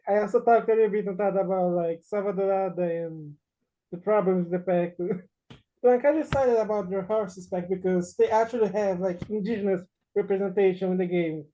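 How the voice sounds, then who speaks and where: A man from Brazil with a Brazilian accent, a native Portuguese speaker, guesting on a podcast, soft-spoken with a giggly lilt. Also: high voice. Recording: dull lo-fi mic.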